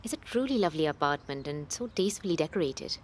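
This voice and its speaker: An actress playing a polite, watchful tenant in a radio soap, measured and gently probing. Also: female voice, low-pitched, lively intonation, a little hiss or hum.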